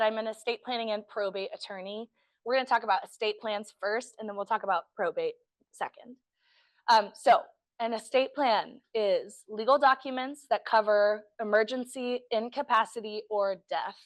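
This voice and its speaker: Slightly hoarse woman attorney presenting. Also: a little high, normal speed, no echo. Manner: friendly, self-deprecating, chatty with fillers. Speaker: estate planning attorney. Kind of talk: educational presentation to a group. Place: meeting room.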